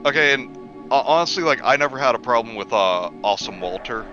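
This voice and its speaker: A man's voice: medium pitch, animated, fast talker, bright, forceful tone, faint music behind. A man in a casual conversation, heard over dull, lo-fi audio. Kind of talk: casual chat.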